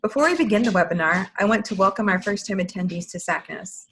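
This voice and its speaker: A woman's voice: medium pitch, expressive, fast, fairly bright tone, slight background noise. A woman hosting an online webinar, reading a formal scripted welcome and ground rules over a video call. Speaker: webinar host and program manager.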